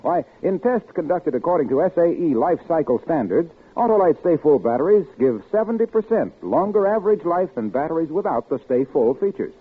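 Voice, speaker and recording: A man reads scripted ad copy as an upbeat radio pitchman, his slightly husky voice bouncing up and down. The sound is thin and tinny, like an old radio broadcast. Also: expressive, fairly quick, hardly any pauses. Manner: bright, punchy pitchman. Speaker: radio announcer.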